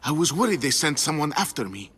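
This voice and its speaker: An actor playing an anxious, vain Greek artist in a video game, fretful and theatrical. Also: male voice, on the high side, sing-song, quick pace, a bit bright. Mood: nervous relief. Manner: fretful, dramatic. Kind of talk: video game cutscene acting.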